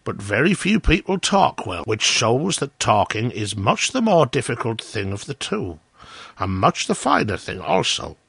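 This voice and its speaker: Sanctimonious miller, read by a man: condescending storybook hypocrite, husky voice, dry close sound. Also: mid-range voice, sing-song, measured pace, fairly bright tone, dry recording, full character voice. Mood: smug, moralizing. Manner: pompous, moralizing, condescending. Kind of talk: storybook character voice.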